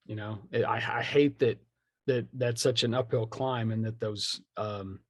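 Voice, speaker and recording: A man's voice: lowish voice, steady tone, measured pace, dry recording. A man talking casually and with strong opinions as a podcast guest, slipping into imagined quotes in slangy language. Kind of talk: animated casual conversation.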